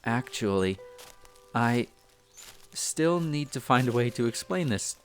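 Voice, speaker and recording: A man's voice: medium pitch, expressive, slow pace, faint music behind. A man playing an earnest, bookish young elf apprentice in a roleplay audio drama, chatty and a little hesitant, easily flustered. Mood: thoughtful, nervous. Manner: hesitant, chatty, explains eagerly. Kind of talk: scripted roleplay audio drama addressed to the listener.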